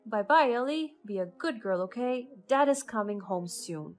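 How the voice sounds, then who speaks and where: A woman voicing a calm, kind husband and father in a narrated story. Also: fairly high pitch, normal speed, soft background music, lightly acted.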